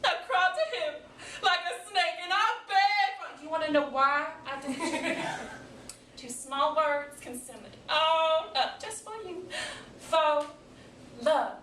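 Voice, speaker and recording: A woman puts on a fed-up wife and mother, shrill and high, in an echoey room. She scolds her husband in a loud comic stage monologue, dragging out words for emphasis. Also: slow pace, heavily acted. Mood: wounded, exasperated. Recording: echoey room, distant mic, background noise.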